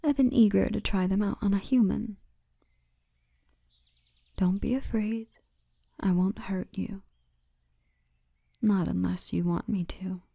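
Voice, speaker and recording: A woman voicing a big orc guardswoman, gentle, wry and commanding, in a hushed, breathy ASMR roleplay murmur. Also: mid-range voice, fairly even intonation, slow, long pauses. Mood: gentle, seductive.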